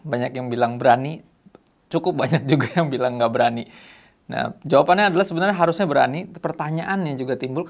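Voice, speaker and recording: A man in his thirties hosting a youth devotional show, explaining Bible passages in a relaxed teaching manner and reading verses aloud. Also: medium pitch, vocal fry. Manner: reads scripture aloud between casual remarks. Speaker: host. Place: small dark studio set, indoors.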